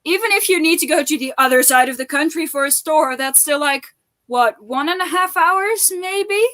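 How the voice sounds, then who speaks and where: Girl just chatting on a stream, pretty high voice, informal and chatty. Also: normal speed, sharp tone. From Netherlands. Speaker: co-host of a book readalong stream. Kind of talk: casual chat.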